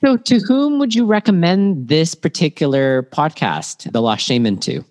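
A man's voice: a little high, animated, moderate pace, a little mellow. A man co-hosting a podcast in a casual, agreeable and encouraging way. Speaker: podcast co-host.

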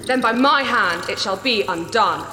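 An actress playing a resolute, commanding warrior daughter with a stern, measured delivery in a sci-fi audio drama. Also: female voice, a little high, lively intonation, sharp tone. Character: resolute warrior daughter defying her tyrant father. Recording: echoey hall reverb, faint noise.

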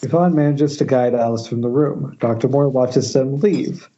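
A man's voice: mid-range voice, moderate pace, dark tone. A man narrating screen directions at an informal table read over an internet call, slightly stumbling through a dramatic reading. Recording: dull, noisy internet call.

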